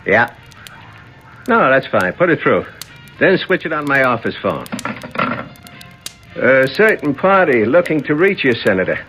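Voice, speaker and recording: An actor playing a brassy, sardonic American nightclub owner with a mocking, slangy swagger in a 1970s radio drama. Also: male voice, medium pitch, sing-song, a little slow, pauses often, music in the background. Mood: breezy, sly.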